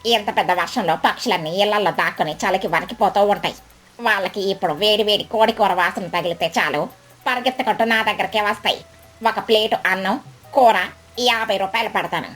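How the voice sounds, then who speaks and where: A woman voicing a greedy, sly female crow food vendor, boastful and scornful, in an animated children's story. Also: mid-range voice, brisk, voice pushed down, close to an everyday voice. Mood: smug scheming. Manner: smug, haughty, scornful. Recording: background music bed.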